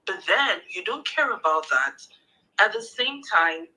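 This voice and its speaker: A woman's voice: medium pitch, sing-song, a little slow, pushed and bright, poor audio. A woman from Cameroon calling in live, who keeps pressing her question to the host. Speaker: caller. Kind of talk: live call-in argument.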